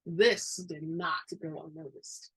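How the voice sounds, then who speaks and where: Husky woman reading fiction aloud. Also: deep voice, steady tone, takes it slowly. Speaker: audiobook-style reader. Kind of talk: dramatic reading, scripted fiction narration.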